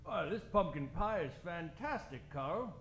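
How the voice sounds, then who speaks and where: An actor playing a wholesome, gushing war veteran in a parody 1940s radio advert. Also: male voice, on the high side, lively intonation, unhurried, a bit bright, faint music behind. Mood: delighted. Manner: gushing, agreeable. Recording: roomy, faintly noisy stage recording.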